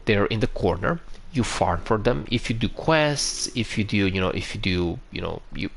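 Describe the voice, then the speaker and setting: A young man with a Romanian accent talks in a somewhat low voice on a relaxed live stream, answering chat and walking through a game. He restarts his phrases often as he explains. A faint hum sits behind him. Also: moderate pace, creaky. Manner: chatty, answers viewers and walks through the game with frequent restarts.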